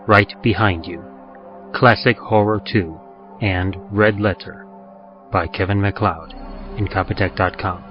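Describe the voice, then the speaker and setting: A man narrating and producing a horror podcast, reading in a low, measured scripted style. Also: dull audio. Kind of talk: scripted radio drama narration.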